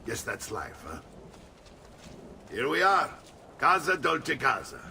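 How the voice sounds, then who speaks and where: An actor playing a jovial, blustering middle-aged Italian uncle in a video game, with an Italian accent and a hearty, theatrical manner. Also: male voice, medium pitch, big pitch swings, slow pace, creaky, bright, forceful tone, a little hiss or hum, exaggerated voice. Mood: genial. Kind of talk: video game cutscene acting.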